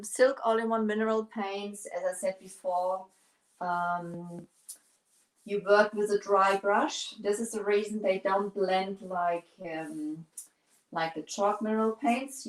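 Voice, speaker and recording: A woman's voice: mid-range voice, reverberant, rough recording. German woman chatting through a paint demo. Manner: searches for words, restarts often, chats to viewers. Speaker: painting livestream host. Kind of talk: casual live painting demo.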